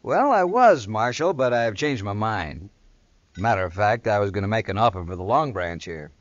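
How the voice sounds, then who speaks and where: Deep-voiced, proud western gambler. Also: male voice, sing-song, moderate pace, no echo. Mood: smooth, casual. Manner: self-assured, plainspoken. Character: proud, wealthy male gambler of the Old West. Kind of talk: old-time radio western acting. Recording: dull vintage radio recording.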